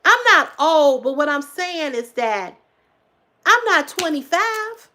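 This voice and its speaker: A woman in her fifties hosting a casual livestream, talking emphatically to her viewers, repeating herself for effect, swearing freely and swinging between loud exclamations and low asides. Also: big pitch swings, takes it slowly. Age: 50s. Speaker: livestream host.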